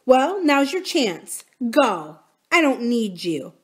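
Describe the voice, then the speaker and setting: A woman's voice: on the high side, big pitch swings, moderate pace, pitched above the performer's own voice. A woman voicing a stubborn, hurt young girl who speaks intensely and defiantly in an audiobook story. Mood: hurt, accusing. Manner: intense, emphatic.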